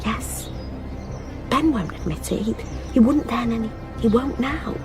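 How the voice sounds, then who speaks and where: An actress playing an anxious, grieving best friend of a missing woman, halting and pleading in a naturalistic radio drama style. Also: fairly high pitch, expressive, over music. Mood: earnest, grieving.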